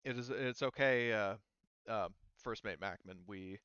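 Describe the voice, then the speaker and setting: A calm female mercenary, voiced by a male game master in his own low register, offers halting reassurance in a sci-fi tabletop game. The voice is smooth and the sound a little dull. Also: no echo. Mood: reassuring.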